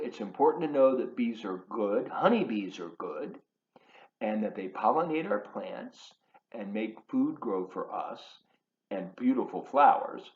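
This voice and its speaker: Animated, unhurried man reading to children. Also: mid-range voice. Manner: expressive, emphatic, teacherly. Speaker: volunteer children's storybook reader. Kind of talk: read-aloud storytelling with chatty asides to children. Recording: roomy echo, slightly dull sound.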